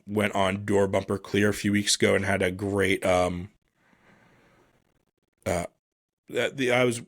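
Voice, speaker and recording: A man hosting a solo podcast, casually commenting on motorsport in a halting, think-aloud, self-deprecating way. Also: fairly low pitch, fairly bright tone, dry recording, studio quality. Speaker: podcast host.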